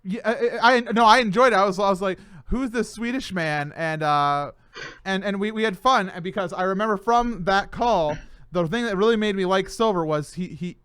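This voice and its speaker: Lively high-voiced man hosting a chat. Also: moderate pace, clear, resonant tone, no room sound. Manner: animated, stammering restarts. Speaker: host. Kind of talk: casual podcast chat.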